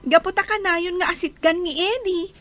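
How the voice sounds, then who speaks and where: A woman's voice: extremely high pitch, steady tone, moderate pace, a bit bright. A woman acting an inquisitive, teasing young confidante in a radio drama.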